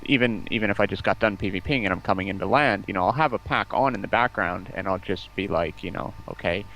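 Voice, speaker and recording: A man's voice: fairly low pitch, quick pace, vocal fry, fairly bright tone, slight background noise, poor audio. A man talking casually as a guest in a stream interview, explaining at length in an enthusiastic, rambling way. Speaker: stream guest, community outreach representative. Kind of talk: casual interview talk about game streaming.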